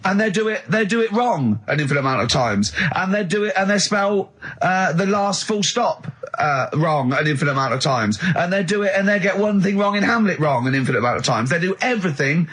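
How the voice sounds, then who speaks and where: A man's voice: sits high, lively intonation, fairly quick, hardly any pauses, fairly bright tone, breathy edge. A man co-hosting a radio show, arguing casually and emphatically, interrupting and repeating himself in exasperation. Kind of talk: casual argumentative radio banter.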